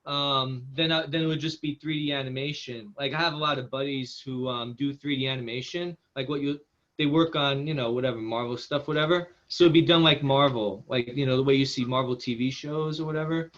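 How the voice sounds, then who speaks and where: A man's voice: mid-range voice, fairly even intonation, fairly quick, a bit bright, lo-fi sound. A man speaking as a screenwriter in a casual feedback discussion, in a hesitant, self-deprecating manner.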